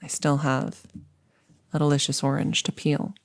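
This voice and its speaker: A woman's voice: low voice, flat delivery, moderate pace, creaky, dry recording, studio quality. A woman playing a chatty, upbeat girlfriend in an intimate ASMR roleplay, rambling casually and musing with hesitations. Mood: casual, reminiscing. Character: chatty, upbeat girlfriend about to become a vampire.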